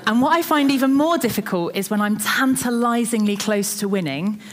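A woman's voice: a little high, moderate pace. Chatty woman preaching. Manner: chatty, self-deprecating storytelling. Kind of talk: sermon. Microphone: microphone.

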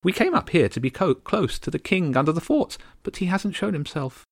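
Haughty little starling queen, high and prim, clipped and sharp, with a dry close sound, put on by a man reading a storybook. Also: big pitch swings, a little fast, vocal fry, no room sound, full character voice. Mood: indignant. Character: tiny regal female starling queen, haughty.

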